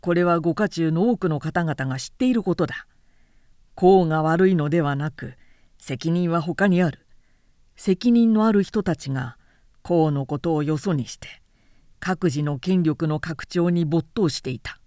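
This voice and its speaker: A woman voicing an outspoken, indignant samurai man in a period-novel audiobook, forceful and insistent in formal speech. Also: sits low, measured pace, no echo, studio quality. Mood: indignant, accusing.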